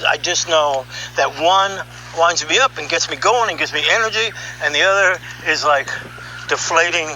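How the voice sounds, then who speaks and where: A man giving an opinionated, conversational monologue as a podcast host, sardonic and animated, throwing out rhetorical questions. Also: fairly high pitch, slight background noise, poor audio. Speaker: podcast host and audio artist. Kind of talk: opinionated monologue, reading article excerpts aloud.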